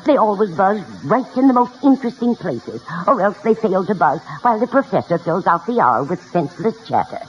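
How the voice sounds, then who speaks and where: A woman's voice: medium pitch, close to an everyday voice. An actress playing a spry, genteel elderly woman with playfully contrary opinions in a 1950s radio comedy. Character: spry, outspoken elderly mother enrolling in college. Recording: dull, hissy old radio recording.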